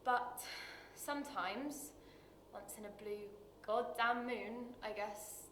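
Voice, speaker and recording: A woman acting a dramatic screen monologue as a bitter, wounded working-class woman, confessional and halting, with weighted pauses. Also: a little high, fairly bright tone. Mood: reflective, weary. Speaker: actor performing a monologue. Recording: roomy echo with light background noise.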